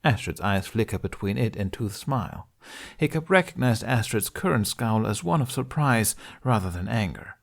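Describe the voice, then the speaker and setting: Low-voiced man narrating a story. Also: lively intonation, normal speed, gravelly. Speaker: podfic narrator. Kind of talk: scripted narration of a fantasy story.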